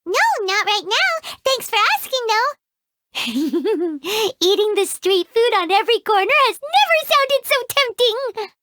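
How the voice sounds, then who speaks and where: Game sidekick: tiny, greedy fairy, very high and bright, bouncy delivery, dry studio sound. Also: female voice, a little slow, dry recording, full character voice. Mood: chirpy. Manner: speaks of herself in third person, excitable. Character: tiny floating female fairy companion, greedy and chatty. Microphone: studio mic.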